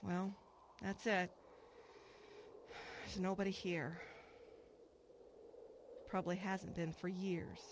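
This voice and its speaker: A woman's voice: mid-range voice, natural voice. Actress as middle-aged woman, radio drama, reflective, earnest, wistful, mid-range voice, noticeable background noise. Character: troubled, wistful woman returning home to her estranged mother. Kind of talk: radio drama acting with first-person narration.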